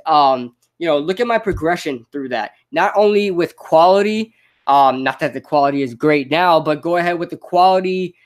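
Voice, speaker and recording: A young man, a podcast host with a slight stutter, giving rambling, unscripted advice that is full of quick restarts. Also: sits high. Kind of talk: casual unscripted advice monologue.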